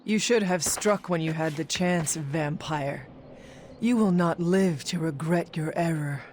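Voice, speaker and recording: An actress playing a proud half-demon monster hunter, formal and stern, in a video game. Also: female voice, low-pitched, a little slow, background noise. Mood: menacing.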